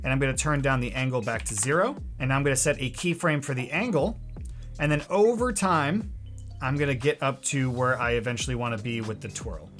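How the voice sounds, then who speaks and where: A man hosting a software tutorial in an informal, joking way, talking casually to his viewers. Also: medium pitch, fairly quick, faint music behind. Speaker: host of a video editing tutorial series.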